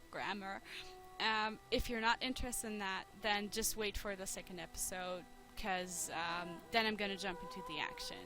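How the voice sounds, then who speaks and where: A German woman talking casually as a let's player, hesitant and filling pauses with ums and shy little laughs. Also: medium pitch. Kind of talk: casual gaming commentary. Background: game music.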